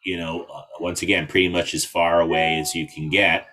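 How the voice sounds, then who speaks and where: Low-voiced man explaining informally. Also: little pitch movement, fairly quick, bright, forceful tone. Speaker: invited speaker presenting. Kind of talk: casual explanatory talk with screen share.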